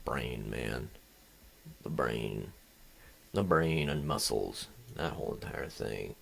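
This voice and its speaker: Gravelly young man rambling on a dev stream. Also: very deep voice, expressive, slow, fairly bright tone. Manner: rambling, jumps between tangents, swears casually. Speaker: game developer streaming. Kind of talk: casual live-stream chat while coding. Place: home desk, indoors.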